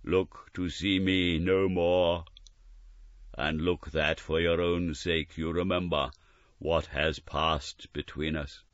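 A man voicing an anguished, solemn male ghost in chains, with slow, drawn-out wailing cries. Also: low-pitched, level tone, no room sound, dull audio. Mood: grave.

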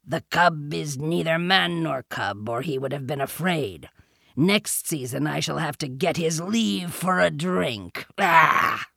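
A man voicing an arrogant, surly lame tiger in a storybook, with a rumbling, sneering growl. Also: slow pace, vocal fry, bright, forceful tone, no echo, studio quality, full character voice.

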